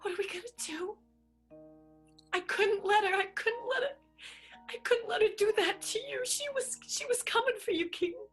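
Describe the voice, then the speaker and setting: A woman's voice: extremely high pitch, moderate pace, a bit bright, soft background music. A woman playing a lovelorn, protective, secretive stage manager in an improvised radio soap, with dramatic repeated phrases. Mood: anguished, protective.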